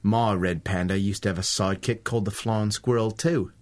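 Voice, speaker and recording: Male actor as a blunt outsider, quietly threatening; radio play. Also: on the low side, steady tone, fairly quick, lightly acted. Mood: tense. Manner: blunt, menacing. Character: blunt male Australian visitor from another world. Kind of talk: radio drama acting.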